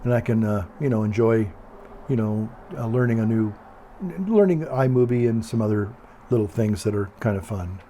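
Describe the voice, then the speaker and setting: An older man with a calm, quiet voice, chatting casually in a meandering, hesitant way and often repeating himself. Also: fairly low pitch, moderate pace, a little hiss or hum. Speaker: video blogger. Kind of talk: casual rambling monologue.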